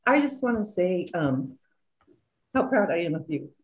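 A woman's voice: lowish voice, very animated, moderate pace. A middle-aged woman talking affectionately and chattily over a video call from a room at home. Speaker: aunt speaking in the audience. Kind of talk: warm personal remarks on a video call. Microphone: webcam mic on a video call.

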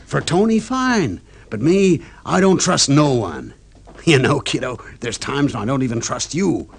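Swaggering, creaky-voiced gangster, old radio drama. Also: male voice, mid-range voice, sing-song, a little slow, exaggerated voice. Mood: brash. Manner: slangy, dismissive, swaggering. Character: cold-blooded, cocky male gangster on the run. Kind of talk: old-time radio crime acting. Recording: old noisy radio recording with clicks.

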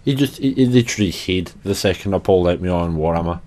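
Tired, hoarse young gamer. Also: male voice, sits low, fast, mellow, slight background noise. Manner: sweary, chatty, self-deprecating. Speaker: gaming channel host. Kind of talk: casual gameplay commentary.

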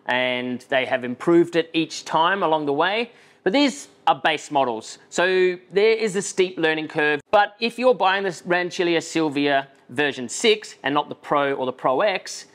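Australian man, thirties, fairly high voice, animated, blunt opinions, to-camera chat. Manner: animated, talks with his hands, frank opinions. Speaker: coffee reviewer. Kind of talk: casual to-camera product advice. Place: indoor studio set with shelves and plants.

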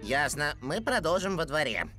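A woman's voice: low voice, big pitch swings, normal speed, music in the background. A woman voicing a small green magic creature, smug and fussy, in a dubbed cartoon. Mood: instructive.

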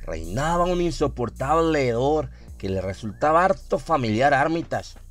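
A man reading a scripted horror story as a narrator, in a dramatic, suspenseful manner with drawn-out phrasing. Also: medium pitch, big pitch swings. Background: music.

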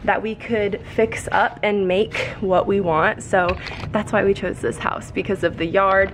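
A young woman in her twenties giving an excited house tour to a handheld camera, indoors and out in a backyard. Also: medium pitch, measured pace, noisy background. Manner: excited, gushing, talks to camera. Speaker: vlogger. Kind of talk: casual house tour vlog. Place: outdoors in a backyard and inside an empty house. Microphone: handheld camera at arm's length.

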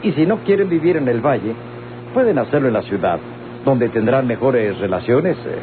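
A tinny, noisy vintage radio-novela recording carries an actor as a rich Mexican male landowner. He speaks formally and insistently, with lively swings in pitch, working to persuade. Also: moderate pace, lightly acted. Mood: insistent warning. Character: wealthy, persuasive male hacienda owner pressing women to sell. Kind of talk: old radio-novela acting.

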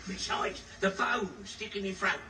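A man's voice: high voice, unhurried, sharp tone, recorded from a distance, soft background music, exaggerated voice. A man playing a doddery, cheerful old caveman grandpa with an English accent in a broad TV comedy sketch. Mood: curious, rambling. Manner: chuckling, rambling. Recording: echoey television playback picked up from afar.

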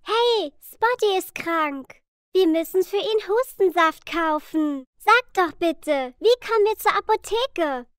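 A caring, cheerful little cartoon girl with a very high voice. She speaks slowly and deliberately, as if guiding young viewers through simple questions. The sound is dry and close. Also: lively intonation, no echo, exaggerated voice. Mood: friendly, instructive. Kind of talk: slow, clear children's educational cartoon dialogue.

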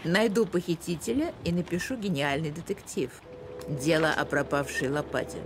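A woman's voice: deep voice, moderate pace. A woman playing a self-important, suspicious amateur detective and writer in a cartoon, pompous and theatrical as she interrogates. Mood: self-important, musing. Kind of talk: animated cartoon acting with narration. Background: music.

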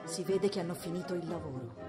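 An actress voicing a wounded, defiant medieval noblewoman in a radio drama, sharp-tongued and worn from her injuries. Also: lowish voice, quick pace, over music, crowd noise, lightly acted. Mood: urgent. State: feverish, aching from wounds.

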